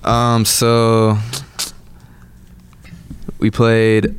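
A man's voice: on the low side, completely flat delivery, extremely slow, slight background noise. A man in his twenties from Atlanta, a musician guest chatting loosely with lots of filler words. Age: 20s. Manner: laid-back, lots of "like" and "um". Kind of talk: casual interview chat.

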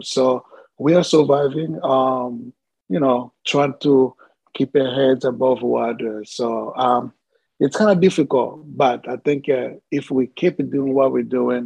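A man from the Democratic Republic of Congo, a podcast guest telling his life story in a halting way, with many filler sounds. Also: medium pitch, gravelly, a little mellow, slightly rough, no room sound, highs cut off.

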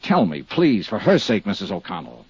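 A man's voice: lowish voice, very animated, normal speed, husky, dry recording, heavily acted. An actor playing an earnest, dogged male insurance investigator in a 1950s radio drama, with pressing, clipped delivery. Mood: urgent, pleading. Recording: muffled 1950s radio recording with music.

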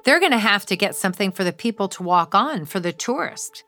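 A woman's voice: medium pitch, expressive, brisk, sharp tone, faint music behind. A woman reader voicing a glamorous female real estate agent, confident and breezy, in an emphatic, reassuring way. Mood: earnest, emphatic.

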